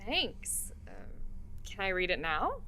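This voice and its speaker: A woman's voice: mid-range voice, huge pitch swings, slow, pushed and bright, heavily acted. A young woman playing a sweet, earnest, affectionate graduate in an audio drama. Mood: moved, subdued. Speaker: actor in an audio play.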